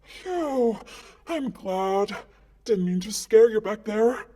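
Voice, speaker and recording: A woman's voice: mid-range voice, animated, slow, mellow. A woman playing a friendly, anxious, awkward ghost in a visual novel, stammering apologetically. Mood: relieved, flustered. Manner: flustered, hesitant, apologetic stammering. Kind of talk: visual novel character acting.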